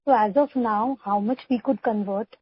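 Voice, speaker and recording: A woman's voice: medium pitch, moderate pace, breathy edge, no room sound. A woman with an Indian accent politely putting questions to company executives as an analyst on a business call. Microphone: conference call line. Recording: dull, lo-fi conference call audio.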